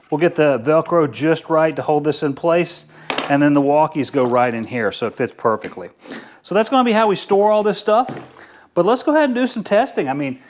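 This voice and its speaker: A middle-aged man hosting a casual outdoor gear review in an informal, enthusiastic way. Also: a little high. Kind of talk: casual product review vlog.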